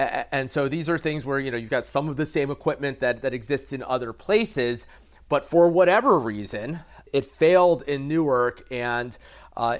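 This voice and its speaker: An American man speaking as an expert guest in an interview, explaining haltingly with repeated words and careful qualifications. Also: mid-range voice. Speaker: expert guest, aviation reporter. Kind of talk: conversational interview analysis.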